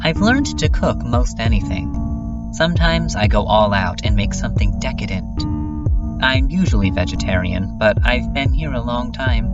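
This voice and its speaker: A slow, hushed voice reads a drifting monologue at a middle pitch, set well back from the microphone. Loud music runs underneath the whole time. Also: distant mic. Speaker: narrator of a fan dub.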